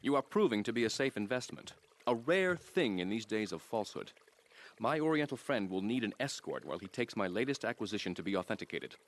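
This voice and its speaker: A calculating, moneyed crime patron in a video game briefing. His voice sits a little low. He talks with measured, businesslike pomposity. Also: sing-song. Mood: smug, businesslike. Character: composed, calculating, wealthy male crime patron.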